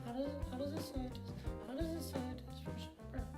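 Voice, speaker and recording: A performer voicing an excitable, giggly little girl puppet who hosts a kids' show, gushing and repeating exclamations. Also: high-pitched, a little fast, lightly acted. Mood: pondering, playful. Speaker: puppet show host for kids. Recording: roomy echo, faint music.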